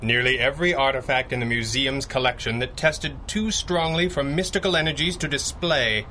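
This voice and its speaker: An actor playing a masked crimefighter boss, commanding and dryly wry, in a pulp radio drama. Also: male voice, medium pitch, normal speed, sharp tone, a little hiss or hum, lightly acted. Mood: intent. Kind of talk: radio drama acting in 1930s pulp style.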